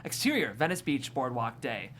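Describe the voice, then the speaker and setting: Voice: mid-range voice, sing-song, moderate pace, fairly bright tone, a little hiss or hum. A narrator reading screenplay stage directions at a comedy table read in a descriptive, amused manner.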